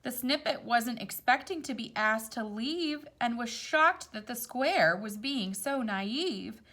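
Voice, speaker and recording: A woman reading a picture book aloud to young children, expressive, with casual explanatory asides. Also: fairly high pitch, slow pace. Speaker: storytime reader.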